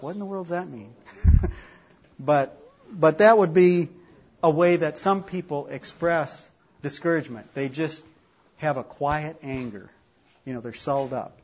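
A man's voice: on the high side, breathy edge, muffled recording. A man from Minnesota with a Minnesota accent, leading an informal class discussion in a chatty, self-deprecating way. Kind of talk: informal group teaching.

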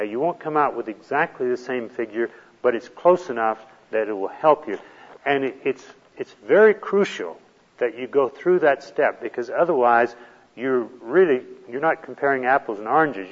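A man's voice: medium pitch, expressive, moderate pace, big-room sound, dull audio. A man teaching a retirement planning class, explaining step by step in a patient, plain way. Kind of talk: classroom lecture.

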